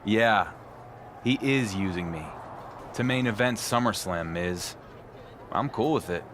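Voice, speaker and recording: A man's voice: on the low side, animated, a little slow, noisy background. An actor playing a cocky, unbothered young male wrestler in scripted game cutscene dialogue. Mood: cocky, cool. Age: young adult.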